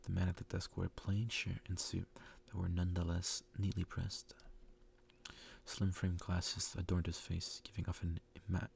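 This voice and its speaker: A man doing a soft ASMR reading of a story, sometimes stumbling over harder words. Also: low voice, level tone, moderate pace, vocal fry, slight background noise. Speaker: ASMR creator reading a visual novel aloud.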